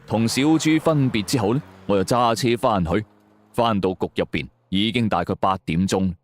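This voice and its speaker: A man's voice: lowish voice, moderate pace, no room sound. A man with a Cantonese accent narrating a suspense story in the first person, in a dramatic scripted style. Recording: music bed under the voice.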